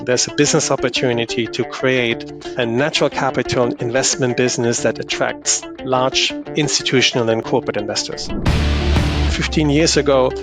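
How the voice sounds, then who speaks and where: A man's voice: medium pitch, fairly even intonation, moderate pace, over music. A middle-aged man with a German accent, speaking thoughtfully as a podcast interview guest, often restarting his sentences. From northern Germany. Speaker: guest, investor.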